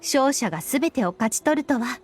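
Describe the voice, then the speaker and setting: A composed young woman strategist in an anime game. Her high voice moves quickly, polite and shrewd. Soft music sits behind her. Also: expressive, breathy edge. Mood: shrewd, suspicious. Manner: polite, measured wording, analytical. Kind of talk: Japanese anime game voice acting.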